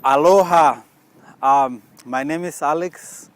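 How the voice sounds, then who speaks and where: A young man from Guatemala, an anthropology student, introducing himself in a casual way with many hesitant pauses. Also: fairly high pitch.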